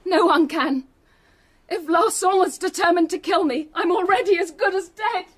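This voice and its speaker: High-voiced, distraught gentlewoman. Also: normal speed, dry recording. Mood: despairing. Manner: melodramatic, fearful. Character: distraught, fatalistic married gentlewoman in fear for her life. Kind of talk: radio drama acting.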